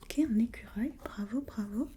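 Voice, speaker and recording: A woman whispering breathily in an ASMR role-play as an examiner, gently asking step-by-step questions and giving short encouragements. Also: a little high, moderate pace, a little hiss or hum.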